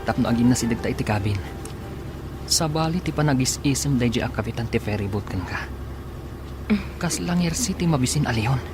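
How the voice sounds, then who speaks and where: A man's voice: mid-range voice, expressive, brisk, pauses often, music in the background, close to an everyday voice. A man playing an anxious, jealous young sweetheart in a radio drama, with a worried, evasive manner. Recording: clearly noisy, engine-like ambience behind.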